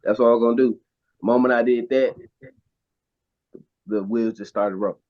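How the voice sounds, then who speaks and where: A man speaks as an interview guest, earnest and emphatic, and his voice sits at a middling pitch. He restarts his phrases as he drives a point home. The recording is dull and slightly lo-fi. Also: fairly even intonation, moderate pace. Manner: earnest, emphatic advice-giving with frequent restarts. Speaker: guest actor and model. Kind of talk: casual interview talk. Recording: dull lo-fi sound.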